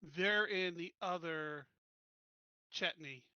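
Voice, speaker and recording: Very bright-voiced man playing a game. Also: fairly high pitch, slow, smooth, no room sound, highs cut off. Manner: offhand, blunt, swears and laughs easily. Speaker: player. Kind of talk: casual tabletop game play.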